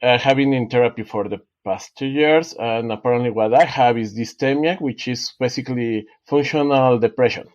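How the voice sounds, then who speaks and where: A man whose first language is Spanish, an author being interviewed, talking in a halting way with many fillers and restarts. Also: medium pitch, lo-fi sound. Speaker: author guest. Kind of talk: casual interview talk.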